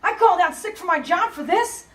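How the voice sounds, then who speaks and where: A woman's voice: very high voice, animated, fairly quick, fairly bright tone, recorded from a distance. A woman acting as a frazzled, short-tempered single mother, talking bluntly and sarcastically with plenty of swearing. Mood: furious. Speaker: single mother waiting in a store line. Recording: echoey room, voice off-mic.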